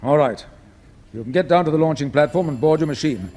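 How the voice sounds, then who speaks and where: An actor playing an authoritative space mission controller in 1950s British radio drama, formal, clipped and measured in his delivery. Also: a little high, a little mellow, natural voice. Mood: firm, serious. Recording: noisy vintage 1950s radio recording.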